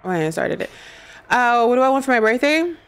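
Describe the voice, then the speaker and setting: A woman's voice: a little high, sing-song, a little fast, smooth. A young woman hosting a gaming stream, chatting and joking with friends over a studio mic at home. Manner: joking, laughs often. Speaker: streamer host. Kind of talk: casual chat while gaming. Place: small room at home, purple lights. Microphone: studio mic on arm.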